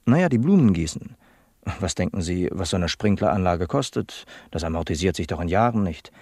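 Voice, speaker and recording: A man's voice: low voice, lively intonation, fairly quick, darkish tone, no room sound, studio quality. An actor playing an earnest, literal-minded young male office apprentice in a satirical radio play, matter-of-fact and officious. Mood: proud, explaining. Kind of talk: satirical radio drama acting as an interviewee.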